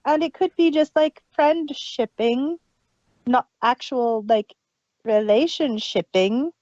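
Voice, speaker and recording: A woman's voice: sits high, takes it slowly, darkish tone, dry recording. A woman co-hosting a casual review podcast, chatting with fan enthusiasm and trailing restarts. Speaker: podcast co-host. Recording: dull lo-fi dry sound.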